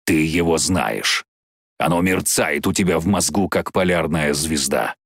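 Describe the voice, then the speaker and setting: A grim war dragon from a fantasy audiobook, acted out by a man. The voice is deep, dry and growling, gloomy and used to giving orders. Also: unhurried, gravelly, fairly bright tone, breathy edge, dry recording, heavily acted. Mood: grim, commanding. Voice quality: low growling mutter. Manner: gloomy, commanding. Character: grim growling old war dragon, male machine-beast.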